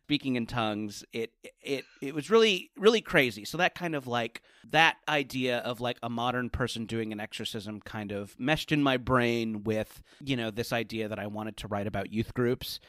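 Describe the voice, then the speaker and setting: A man's voice: mid-range voice, moderate pace, hardly any pauses, a bit bright, no echo, studio quality. Middle-aged American man, casual and rambling. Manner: rambling, informal, self-deprecating. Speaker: podcast guest, comedy writer and podcaster. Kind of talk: casual interview chat.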